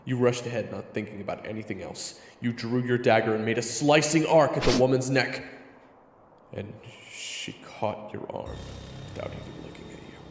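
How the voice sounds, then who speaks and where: A man's voice: medium pitch, normal speed, background noise. A man giving scripted, dramatic narration for an audio roleplay story. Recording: echoey reverb, music behind.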